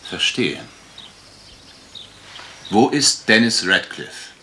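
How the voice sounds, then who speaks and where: A man playing a brisk, insistent investigator in a radio crime drama, with clipped, probing questions. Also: on the low side, very animated, bright, forceful tone, slight background noise, full character voice.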